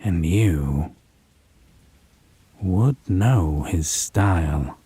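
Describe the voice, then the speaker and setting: A man playing a possessive, wounded vampire in a roleplay audio drama, speaking with mocking refinement, theatrical phrasing and a breathy, husky voice. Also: low-pitched, lively intonation, very slow, dark tone, exaggerated voice. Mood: menacing, knowing. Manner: pedantic, sardonic, theatrical, lingering phrasing.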